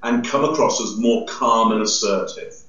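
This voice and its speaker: A middle-aged man explains things with lively emphasis, gesturing and moving about as he talks. His voice sounds set back from the webcam in an echoey room. The audio is dull and lo-fi. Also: on the low side, moderate pace. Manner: emphatic, gestures a lot, stands and moves around, tags lines with "yeah?". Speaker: body language expert guest. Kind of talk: animated explanatory interview talk. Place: indoor room with large window. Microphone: video call, webcam at distance. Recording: roomy echo, distant, dull webcam sound.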